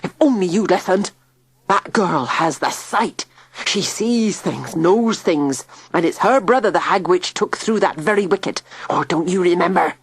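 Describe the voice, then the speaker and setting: A worried old countryman, gasping and fretting, with a rough, grumbling way of talking, as a woman reader puts him on. The voice sits only a little above her own and sounds husky. It is recorded close and dry for a storybook reading. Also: fairly low pitch, animated, a little slow, no echo, heavily acted. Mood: fretful grumbling. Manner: rustic, fretful, gasping. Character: frightened, gruff old man.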